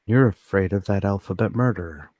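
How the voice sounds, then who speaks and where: A man playing a troubled, forgetful older gentleman in a radio mystery drama, speaking politely and haltingly. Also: fairly low pitch, dry recording, dull audio, lightly acted. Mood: polite, probing. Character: troubled, forgetful, soft-spoken older gentleman, haunted and deliberate.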